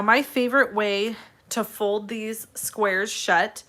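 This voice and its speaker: A woman with a slightly raspy, congested voice hosts a casual step-by-step craft tutorial, addressing viewers in a friendly, direct way. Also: mid-range voice, a bit bright. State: congested, irritated throat from allergies.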